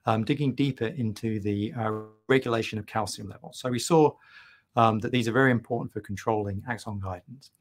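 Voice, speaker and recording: A middle-aged man giving a keynote lecture over slides on a video call, full of ums and restarts. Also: lowish voice. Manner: hesitant, many ums and restarts. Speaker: keynote speaker. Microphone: webcam on video call.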